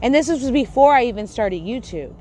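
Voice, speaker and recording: A woman's voice: fairly high pitch, animated, fairly quick, dark tone, a little hiss or hum. A woman who stutters and has a lisp, ranting angrily at her livestream chat, swearing and restarting her sentences. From Texas. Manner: angry, swearing, repeats and restarts, confrontational. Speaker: YouTuber on a livestream. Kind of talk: heated livestream rant to chat.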